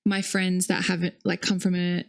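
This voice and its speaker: A woman's voice: fairly low pitch, monotone, normal speed, vocal fry. Chatty woman podcast co-host. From Australia. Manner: chatty, laughs often, fillers. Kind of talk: casual chat.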